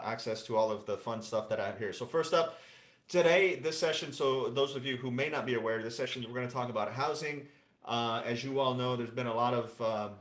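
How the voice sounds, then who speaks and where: A man's voice: mid-range voice, a bit bright, roomy sound. A man moderating a virtual meeting in a casual, joking way, with frequent fillers, heard over a video call.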